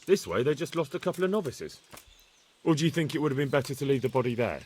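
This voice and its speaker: A man's voice: medium pitch, lively intonation, fast talker, darkish tone, slight background noise, close to an everyday voice. A man playing an earnest, stubborn young adventurer in a medieval video game cutscene. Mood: defensive, firm.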